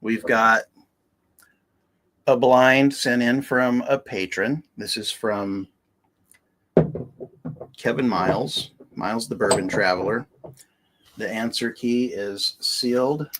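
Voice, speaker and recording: A man's voice: mid-range voice, takes it slowly, long pauses, background noise. A man co-hosting a casual livestream, explaining the products plainly and teasing his co-host with dry jokes.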